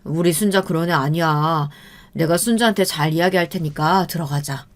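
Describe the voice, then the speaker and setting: A woman's voice: low voice, normal speed, lightly acted. A woman voicing a kind, protective middle-aged father who talks earnestly. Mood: concerned.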